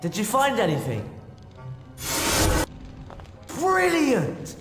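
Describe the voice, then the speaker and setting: A man's voice: very high-pitched, huge pitch swings, very slow, full character voice. A man voicing an earnest, grateful teenage schoolboy wizard in a video game. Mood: eager. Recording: echoey hall, music behind.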